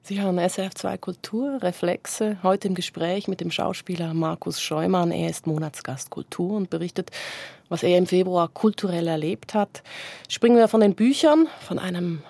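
A woman's voice: lowish voice, normal speed, creaky, warm tone, no room sound. A woman hosting a cultural radio interview, introducing and questioning her guest.

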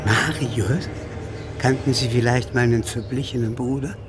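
An actor playing a composed, courteous stranger in a radio play, speaking with polite, measured formality. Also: male voice, medium pitch, fairly even intonation, over loud music, close to an everyday voice.

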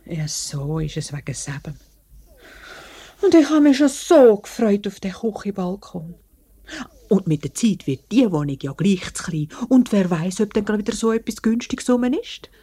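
A woman's voice: mid-range voice, sing-song, normal speed, warm tone, breathy edge. An actress playing an eager, persuasive older mother of the household, with a Swiss accent and a coaxing, insistent manner, in a 1950s radio drama. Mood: wistful, persuasive. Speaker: actor. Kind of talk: 1950s German-language radio drama acting.

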